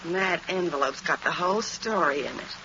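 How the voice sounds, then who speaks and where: A woman playing a bitter, defiant gangster's moll, forceful and slangy, in an old-time radio crime drama. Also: on the low side, fairly quick, lightly acted. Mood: defiant threatening. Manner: slangy, forceful accusing talk. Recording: noisy, muffled vintage radio recording.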